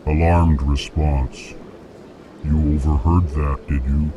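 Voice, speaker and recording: A man's voice: slow pace, muffled recording, background noise. An actor voicing a stiff, emotionless alien male diplomat who announces his feelings in formal speech. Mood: troubled. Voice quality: very deep, flat, states emotions aloud. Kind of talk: video game voice acting. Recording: game audio over music.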